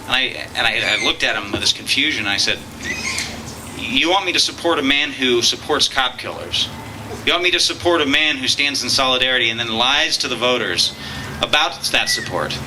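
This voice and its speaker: A man's voice: mid-range voice, lively intonation, brisk. A man emceeing a political rally, earnest and fond of rhetorical questions, introducing the speakers. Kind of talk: public speech at a political rally. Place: outdoor campaign rally. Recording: outdoor rally with clear background noise.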